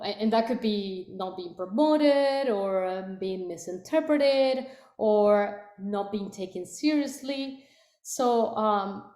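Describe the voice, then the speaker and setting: A woman's voice: mid-range voice, a little mellow. A woman with a Spanish accent, a native Spanish speaker from Latin America, speaking as a product leader on a panel, hesitant with many fillers and restarts. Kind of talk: panel conversation. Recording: echoey room.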